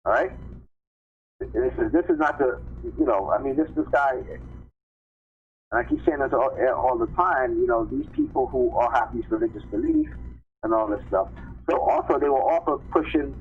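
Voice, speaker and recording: A man reading news articles aloud on a live stream, haltingly, repeating phrases and adding informal commentary. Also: medium pitch, big pitch swings. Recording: tinny phone-line sound with clear background noise.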